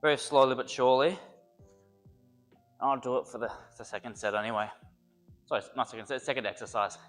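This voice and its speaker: A man's voice: medium pitch, brisk, a bit bright, faint music behind. A young man, a personal trainer, chatting casually and joking while he works out, a little congested from a cold. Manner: rambling, joking, thinks aloud between sets. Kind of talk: casual workout vlog talk.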